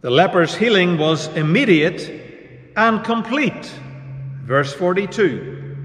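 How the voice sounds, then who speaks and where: A man preaching a sermon as pastor, measured and unhurried with pauses between phrases. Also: sits high, animated, a bit bright. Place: church. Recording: echoey church hall reverb.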